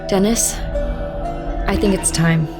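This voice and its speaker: Actress as a guarded, frightened woman, mid-pitched. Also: slow pace, over loud music, close to an everyday voice. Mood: resolved. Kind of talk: audio drama acting.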